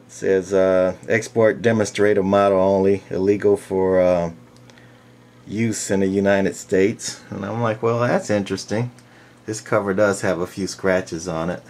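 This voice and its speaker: A man giving a casual, unscripted walk-through in a halting, matter-of-fact way, like a hobbyist collector presenting his gear. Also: sits low, fairly even intonation, darkish tone, slight background noise. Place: indoors, small room. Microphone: handheld camcorder's built-in mic.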